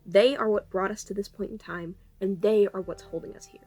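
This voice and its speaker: A woman playing an exasperated, technically minded time-travel engineer in an audio drama, explaining briskly. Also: medium pitch, steady tone, darkish tone, soft background music, natural voice. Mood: grave, urgent. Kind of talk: cinematic audio roleplay acting.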